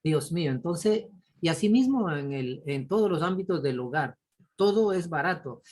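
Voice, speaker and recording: Husky Ecuadorian-raised man telling stories. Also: fairly high pitch, moderate pace. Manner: apologetic, animated storytelling with exclamations. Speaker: course participant. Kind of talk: casual video-call discussion.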